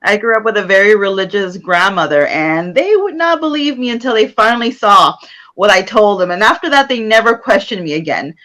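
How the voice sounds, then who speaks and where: A woman co-hosting a casual chat who speaks in a relaxed, conversational way. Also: mid-range voice, a little fast. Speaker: co-host.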